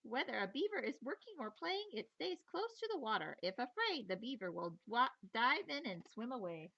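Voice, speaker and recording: A woman teacher reading a children's nature book aloud in an expressive, playful storytelling manner, with chatty asides. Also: on the high side, moderate pace, creaky, dull audio.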